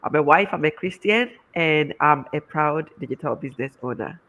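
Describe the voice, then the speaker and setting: A woman's voice: deep voice, expressive, moderate pace, a bit bright. A woman with a Ghanaian accent introducing herself as a panelist on a livestream. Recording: thin phone-like call audio.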